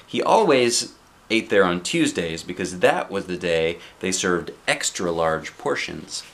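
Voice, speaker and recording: Animated man reading a children's storybook. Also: deep voice, unhurried, slight background noise. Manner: playful, expressive, chatting with a child. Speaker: dad reading a picture book aloud.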